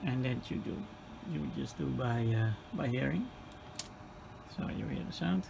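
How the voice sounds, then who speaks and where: A Dutch-accented man in his forties talks slowly through a repair at his workbench, hesitating as he goes. His voice is gravelly and rises and falls a lot. Steady room noise sits clearly behind him. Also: mid-range voice. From Netherlands. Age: 40s. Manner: thinks aloud step by step, hesitant with fillers. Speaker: hobbyist repairing a CB radio. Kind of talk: hands-on repair vlog.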